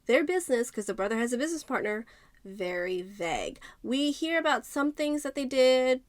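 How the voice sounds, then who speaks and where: A woman's voice: sits high, sing-song, normal speed, no room sound. A woman giving a casual, conversational book review, thinking aloud and stressing her points as she talks to viewers.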